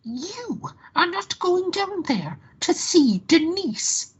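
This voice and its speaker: A woman's voice: very high-pitched, sing-song, takes it slowly, a little hiss or hum, full character voice. A woman playing an amorous, possessive lover in a radio play, sighing and cooing theatrically. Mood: possessive, indignant.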